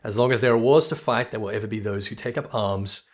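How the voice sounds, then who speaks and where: A man's voice: on the low side, fast, close to an everyday voice. A man voicing a boisterous, sardonic mercenary spearman in a fantasy game, with wry, theatrical old-fashioned speech. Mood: jovial, wry.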